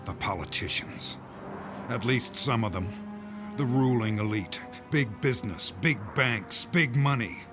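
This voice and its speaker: A man playing a grim doomsday insider in an audio drama, speaking in measured, weighty phrases. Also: mid-range voice, lightly acted. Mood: grave, bitter. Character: grim male insider revealing a doomsday conspiracy. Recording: music bed under the voice.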